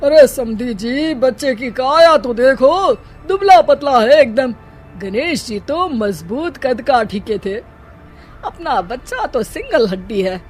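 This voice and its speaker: Lady doing cartoon grandpa, mocking and argumentative, high voice, faint music behind. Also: sing-song, takes it slowly, full character voice. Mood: mocking objection. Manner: sarcastic, quarrelsome. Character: argumentative, mocking older grandfather, sarcastic in-law.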